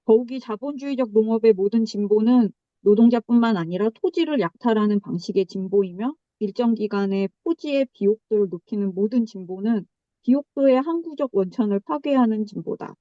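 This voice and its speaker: Higher-voiced woman reading aloud on dull audio. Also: no room sound. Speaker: seminar presenter.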